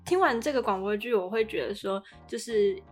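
A Taiwanese-accented woman talks as a podcast co-host with music behind her. She has a middle-pitched voice and a casual, conversational way of discussing things. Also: lively intonation, fairly quick.

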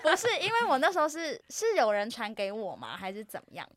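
Casting: female co-host, Taiwanese accent, high-pitched and animated, playful teasing chat. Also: fast, no echo. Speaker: podcast co-host. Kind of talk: casual chat.

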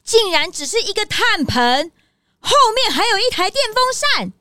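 Young detective's helper, kids' audio story: tiny high voice, animated, eager and impulsive. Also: female voice, normal speed. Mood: curious. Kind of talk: children's audio drama acting.

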